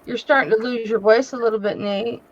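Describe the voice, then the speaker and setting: A woman's voice: fairly high pitch, steady tone, a little fast. A woman chatting casually as a guest, teasing and encouraging the others. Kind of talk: casual chat. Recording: dull lo-fi audio.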